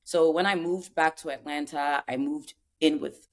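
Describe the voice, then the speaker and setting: A woman's voice: low voice, fairly even intonation, normal speed. A woman in her thirties from Cameroon talks as a podcast guest. She rambles in easy, casual chat and leans on fillers. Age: 30s. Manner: chatty, rambling with many fillers.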